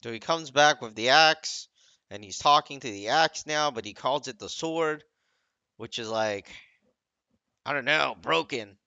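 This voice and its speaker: A man's voice: medium pitch, unhurried, pushed and bright, clear, resonant tone, no echo. A man giving casual, off-the-cuff commentary on video game cutscenes in a critical, nitpicking manner. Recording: dull, lo-fi dry microphone recording.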